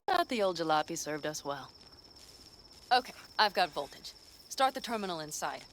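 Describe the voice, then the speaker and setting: An actress voicing a confident female mercenary in a video game, giving quick, commanding orders. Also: fairly low pitch, big pitch swings, a bit bright, slight background noise, rough recording. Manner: brisk, commanding planner. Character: tough female fixer, confident and cocky. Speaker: mercenary ally.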